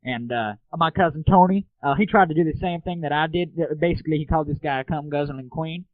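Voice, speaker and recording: A man's voice: fairly high pitch, quick pace, dry recording. A man hosting an internet comedy radio show, talking casually in crude slang. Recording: lo-fi, phone-like narrowband audio.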